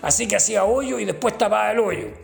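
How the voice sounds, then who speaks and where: A man playing a gravelly old Chilean rascal. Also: high-pitched, expressive, quick pace, soft background music, heavily acted. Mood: boastful. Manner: folksy, slangy, rambling boasts. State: tipsy from drinking. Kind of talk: comic character interview.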